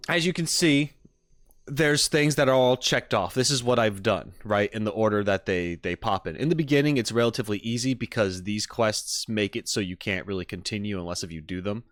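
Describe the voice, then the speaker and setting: A man's voice: lowish voice, a little fast, a bit bright. A man live streaming, talking casually and thinking aloud in an easygoing, rambling way. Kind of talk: casual livestream chat. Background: background music.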